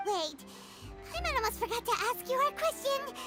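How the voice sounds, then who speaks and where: A woman's voice: very high-pitched, sing-song, moderate pace, pushed and bright, heavily acted. A woman voicing a tiny, chatty, curious fairy companion who talks about herself in the third person, with game music underneath.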